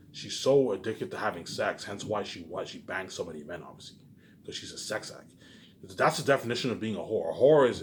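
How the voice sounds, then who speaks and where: Man, lowish pitch, casual rambling delivery, repetitive, halting, sweary, faintly noisy recording. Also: a bit bright. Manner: repetitive, halting, often swearing, tags statements with "obviously". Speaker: solo video host. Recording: noticeable background noise.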